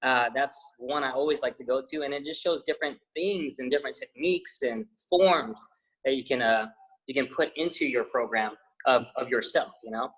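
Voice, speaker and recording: A man's voice: medium pitch, normal speed, breathy edge, low-quality recording. A young man, a fitness trainer, chatting casually as an interview guest, rambling with lots of fillers and restarts. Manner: says he has a loud voice.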